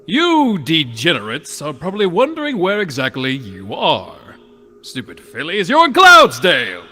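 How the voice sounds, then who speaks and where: A man's voice: fairly high pitch, huge pitch swings, normal speed, sharp tone, breathy edge, full character voice. A man voicing a domineering, sneering pegasus doctor villain in a pony horror audio drama, powerful, theatrical and condescending. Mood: sneering, commanding.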